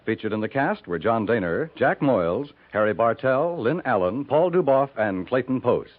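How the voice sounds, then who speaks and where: A man's voice: medium pitch, very animated, moderate pace, noisy background. An American man announcing from a script in formal, emphatic 1950s radio style. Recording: tinny 1950s radio recording. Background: music.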